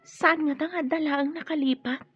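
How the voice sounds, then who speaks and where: A woman's voice: high-pitched, steady tone, moderate pace, lightly acted. A woman playing a wary, fearful young woman torn by a family feud in a radio drama. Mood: guarded. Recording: muffled, lo-fi radio drama audio.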